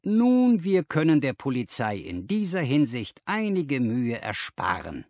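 A man voicing an excited, commanding detective in an audiobook, with emphatic exclamations. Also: mid-range voice, a little slow, dry recording, clean studio sound, full character voice. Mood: assured, commanding. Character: excited, commanding male detective, sharp and assured. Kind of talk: audiobook character dialogue.

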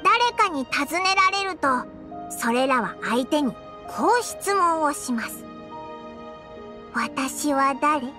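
A woman voicing a small, excitable, childlike fairy companion in a Japanese anime game, animated and brash. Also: takes it slowly. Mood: spooky storytelling. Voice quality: squeaky, childlike. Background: background music.

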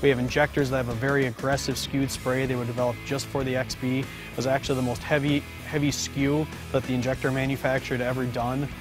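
A man's voice: mid-range voice, little pitch movement, quick pace. A male engineer explaining an engine system in an enthusiastic, conversational way, with fillers. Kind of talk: explaining on camera. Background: music bed.

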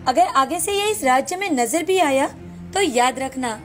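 A woman voicing a haughty, scornful queen in an animated folktale. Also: high voice, a little slow. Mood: contemptuous. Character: haughty, scornful queen who despises the poor. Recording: music bed behind the voice.

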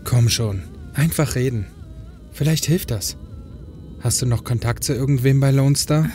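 A man's voice: medium pitch, measured pace, warm tone, faint music behind, natural voice. A man acting a concerned, pushy but caring brother in an audio drama, speaking earnestly and persuasively. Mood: concerned, coaxing.

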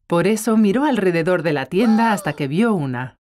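A woman's voice: on the low side, lively intonation, normal speed, a little mellow, faint music behind. A woman narrator reading a scripted children's story.

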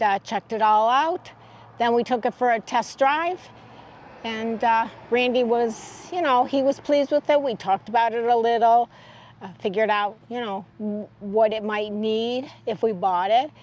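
An older woman with an American accent and a somewhat high voice chats casually. She recounts a trip like a travel vlogger, outdoors, on slightly dull-sounding audio. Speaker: travel vlog host. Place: outdoors in open air beside a car.

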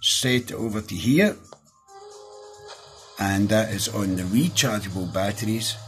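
A middle-aged man with a Scottish accent talks through a hands-on review in a casual, down-to-earth way. His voice sits on the low side. Soft music plays faintly behind him. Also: a little slow. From Scotland. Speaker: product reviewer. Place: small indoor room at a desk.

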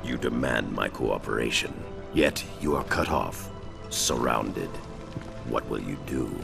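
An actor playing a stern, mature ninja clan grandmaster in a video game, speaking gravelly, formal, measured lines of command. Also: male voice, rumbling low, full character voice. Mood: disdainful. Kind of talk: dramatic video game acting. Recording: loud game music behind.

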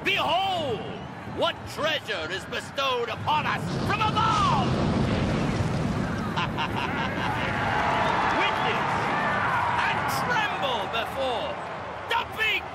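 An actor playing a manic, gleeful showman, announcing in bombastic shouted rhymes to a wasteland crowd in a video game. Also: very high voice, wildly swooping pitch, exaggerated voice. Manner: bombastic, gleeful, drawn-out rhyming patter. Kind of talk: theatrical arena announcing, shouted. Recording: loud noise behind the voice.

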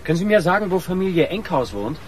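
A man's voice: high-pitched, lively intonation, fast talker, low-quality recording. Pushy male reporter, ambient noise. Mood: probing, polite. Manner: probing, casual, interjecting. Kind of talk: naturalistic radio crime drama dialogue. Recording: radio play with background ambience.

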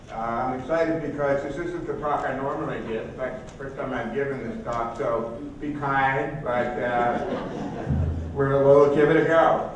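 A man in his sixties giving a conversational keynote speech in an informal, halting way. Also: mid-range voice. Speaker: keynote speaker. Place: large hotel conference ballroom, at podium. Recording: echoey hall from far off, noisy.

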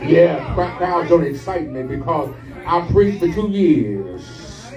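A man's voice: lively intonation, takes it slowly, darkish tone, faint music behind. A middle-aged man preaching a sermon at the pulpit, building up in call-and-response style as the congregation answers. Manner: call-and-response preaching, rising in pitch and fervor. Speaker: guest preacher. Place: large church sanctuary. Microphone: pulpit mic, filmed from the pews. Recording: echoey church, distant, congregation responding. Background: congregation calling back.

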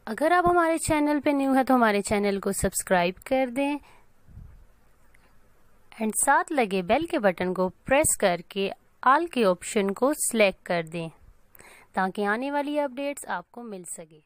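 Smooth high-voiced woman promoting a channel. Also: expressive, measured pace. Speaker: cooking channel host. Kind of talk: channel subscribe request.

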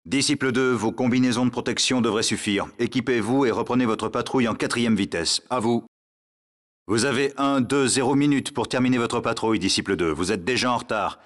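Actor as a stern military radio dispatcher. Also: male voice, mid-range voice, a little fast, close to an everyday voice. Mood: stern, businesslike. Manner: authoritative, clipped. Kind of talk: clipped military radio chatter, game voice acting.

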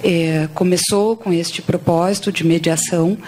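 A woman's voice: lowish voice, steady tone, unhurried, a little mellow, a little hiss or hum. A woman with a Brazilian accent, an audience member in a large auditorium, asking a long, formally worded question. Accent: Brazilian Portuguese.

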